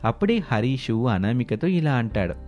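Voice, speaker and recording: Male storyteller, scripted moral-tale narration, mid-range pitch, faint music bed. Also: big pitch swings, normal speed, a little mellow.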